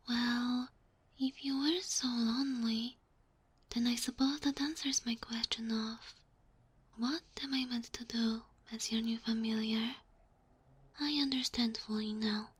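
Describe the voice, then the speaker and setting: Woman voicing a breathy, high-pitched, sweet fox-girl spirit. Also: full character voice. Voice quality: soft, breathy, often whispering. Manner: gentle, bashful, flustered hesitations. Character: sweet, shy, submissive young female kitsune fox spirit familiar. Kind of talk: ASMR roleplay.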